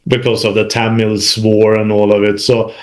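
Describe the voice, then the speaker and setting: A man's voice: on the low side, completely flat delivery, normal speed. Man recounting his career. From Sweden. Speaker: interview guest, manufacturing executive. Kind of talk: recounting career experience in conversation.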